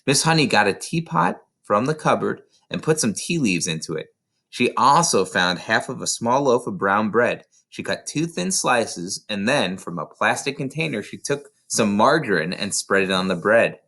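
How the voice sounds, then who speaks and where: A man reads a children's novel aloud as an expressive storyteller. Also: lowish voice, normal speed, creaky. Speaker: narrator, reader of a children's novel.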